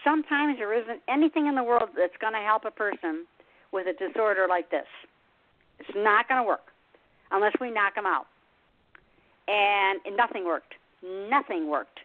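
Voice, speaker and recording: A woman's voice: medium pitch, moderate pace. An older woman hosting a radio show, chatting in an easy, flowing, confiding way. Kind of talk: casual interview chat. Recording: dry phone-line sound.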